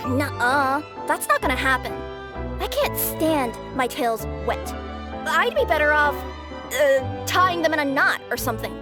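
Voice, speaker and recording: A woman's voice: high-pitched, big pitch swings, unhurried, over music. A woman voicing an eager young cat-girl courier in an anime game, cheerful, polite and enthusiastic. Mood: stubborn.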